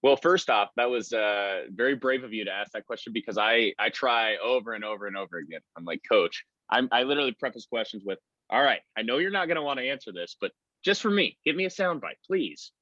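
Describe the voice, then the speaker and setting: A man's voice: medium pitch, expressive, a little fast, pushed and bright, no room sound, lo-fi sound. A man speaking as a guest sports announcer in a conversational interview, giving earnest, wordy answers. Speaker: sports radio play-by-play announcer, podcast guest.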